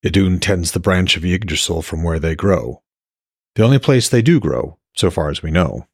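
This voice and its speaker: A gentle, reassuring man of Norse fantasy, acted by a male reader, speaks low and soft. He coaxes and explains patiently in a husky voice, recorded dry for an audiobook. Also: lively intonation, normal speed, no echo, heavily acted. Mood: reassuring, explaining.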